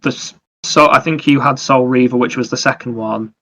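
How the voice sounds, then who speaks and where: A man's voice: medium pitch, steady tone, fairly quick, bright, forceful tone. A man co-hosting a podcast, casually explaining video games in detail. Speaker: podcast co-host. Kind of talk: casual video game discussion.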